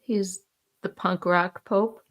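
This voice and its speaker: A woman co-hosting a podcast, chatting casually in a slow, drawn-out, wry way. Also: medium pitch, steady tone, highs cut off. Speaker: podcast co-host. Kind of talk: casual chat.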